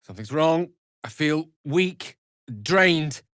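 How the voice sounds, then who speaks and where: A man's voice: on the high side, lively intonation, slow, fairly bright tone, smooth, no room sound, clean studio sound. An actor playing a wry, scholarly middle-aged wizard in a fantasy video game, articulate and theatrical in his phrasing. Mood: emotional, pleading. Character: eloquent, wry, scholarly male wizard adventurer.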